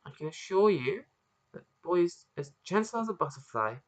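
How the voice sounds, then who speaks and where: A man voicing a mysterious stranger who speaks in a light, calm, reassuring way. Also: sits high, normal speed, dry recording, muffled recording, voice pushed up.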